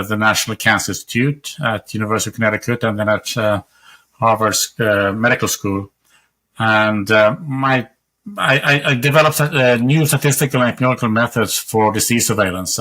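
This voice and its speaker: A man in his sixties from Sweden, speaking with a Swedish accent, explaining his research in a measured way as a guest on a video call. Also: on the low side, bright, forceful tone. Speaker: guest, epidemiologist. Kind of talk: interview answers over video call. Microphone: webcam on video call.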